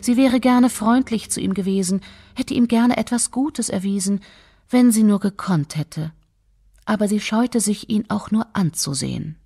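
A woman's voice: medium pitch, normal speed, warm tone, breathy edge, faint music behind. A woman reading scripted fairy-tale narration as the storyteller.

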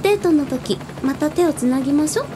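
A woman's voice: sits high, lively intonation, fairly quick, noisy background. A woman playing a sweet, cheerful young girl with psychic powers in a radio drama, girlish and cutesy. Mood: sweet, admiring. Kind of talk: anime-style radio drama acting.

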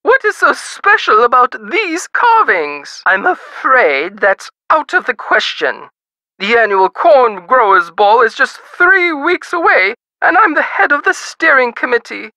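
A fussy, vain society lady from a video game. Her voice is high and bright, and it swoops grandly as she takes her time over every florid, affected phrase. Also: big pitch swings, a little slow, no room sound, full character voice. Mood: shocked, bewildered, then fretful, haughty. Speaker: game character voice actor. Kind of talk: theatrical video game voice acting.

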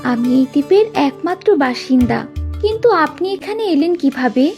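Fairy-tale cartoon castaway girl female actor: young, high voice, earnest and polite, clear music bed. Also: big pitch swings, brisk. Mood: caring. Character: young island girl, lone castaway, caring. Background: music behind the voice.

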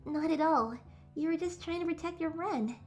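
A woman voicing a feisty, affectionate, excitable teenage superheroine in a fan comic dub, with expressive delivery. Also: extremely high pitch, normal speed, faint music behind. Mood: caring. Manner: expressive, exclamatory.

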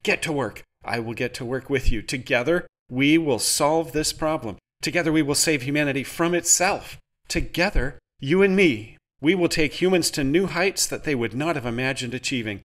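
A man voicing a forceful woman who barks orders and rallies her partner. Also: on the high side, normal speed, hardly any pauses, voice pushed up, close to an everyday voice. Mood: commanding. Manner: barking, urging. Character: forceful woman, commanding and rallying.

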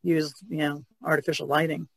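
A woman talks casually on a podcast panel in a creaky voice with little pitch movement. The sound is dry. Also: level tone, a little fast, no room sound.

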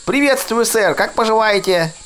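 A man's voice: sits high, lively intonation, moderate pace, fairly bright tone, soft background music, pitched above the performer's own voice. A man voicing a cheerful, innocent wooden puppet boy from a children's game, with childlike calls.

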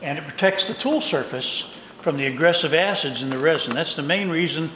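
Bright-voiced older man in an echoey workshop. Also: fairly high pitch, lively intonation, a little fast. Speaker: composites manufacturer giving a shop tour. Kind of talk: unscripted technical explanation. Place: large indoor workshop and hangar. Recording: roomy workshop echo, faint noise.

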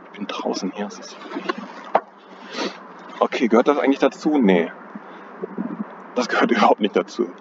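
A man's voice: on the low side, animated, moderate pace, pauses often. A man casually talking through trading charts, thinking aloud and hesitant, often correcting himself, recorded outdoors on a cold day. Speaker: trading analyst. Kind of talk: casual chart analysis commentary. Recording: dull lo-fi audio with outdoor noise.

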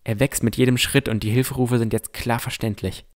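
A man's voice: medium pitch, steady tone, brisk. A man reading scripted first-person narration as the narrator of a fantasy audio drama.

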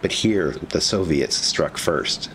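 A man narrating a scripted historical documentary in a measured, serious manner. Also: low-pitched, animated, fairly bright tone, a little hiss or hum.

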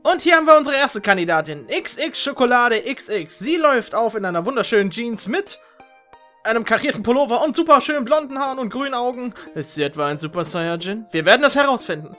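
A man's voice: very high voice, animated, fast talker, pushed and bright. A man presents a game show in a joking, exclamatory way. His commentary is theatrical and playful, with music playing behind him.

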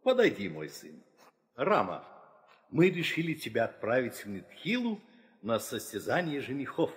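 A man's voice: mid-range voice, wildly swooping pitch, moderate pace. An actor playing a weary, authoritative old king and father, solemn and declamatory in a theatrical audio play. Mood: weary, fatherly. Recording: reverberant 1960 audio play record.

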